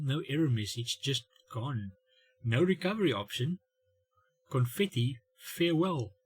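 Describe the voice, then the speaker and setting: A middle-aged man telling a humorous story in a wry, self-mocking way, with dramatic pauses and bits of acted-out dialogue. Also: mid-range voice, slightly rough. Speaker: host of a family humour channel. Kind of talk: comedic storytelling monologue.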